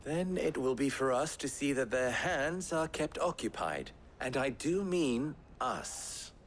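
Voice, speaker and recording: Eloquent, wry schemer with animated delivery. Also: male voice, mid-range voice, a little hiss or hum. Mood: urgent, resolute. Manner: florid, measured, dry wit. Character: eloquent, wry, calculating male town schemer. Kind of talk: video game cutscene acting.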